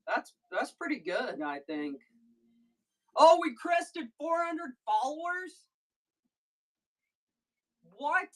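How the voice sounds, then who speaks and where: Deep-voiced woman from Missouri, unhurried and chatty, runs her own live sales stream. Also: low-quality recording. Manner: chatty, breaks off mid-thought to handle tasks. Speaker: online seller streaming a live sale. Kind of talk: casual livestream chat while packing orders.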